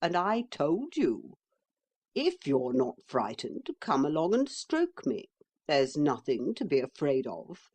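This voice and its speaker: Woman doing a slow, teasing talking horse. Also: deep voice, sing-song, a little mellow, slightly rough, heavily acted. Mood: scornful.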